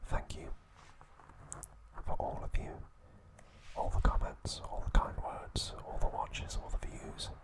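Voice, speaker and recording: A man hosting ASMR, narrating in a soft, breathy whisper with a gentle, soothing manner and short, separated phrases. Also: slow, pauses often. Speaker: ASMR channel host.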